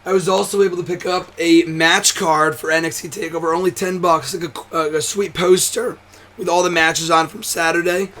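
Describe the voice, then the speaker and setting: A hoarse, tired young man hosting a wrestling show, chatting casually to camera with a nearly gone voice, rambling, swearing and restarting often. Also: fairly high pitch, fairly even intonation, moderate pace, bright, forceful tone. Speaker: wrestling commentary host. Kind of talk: casual to-camera vlog chat.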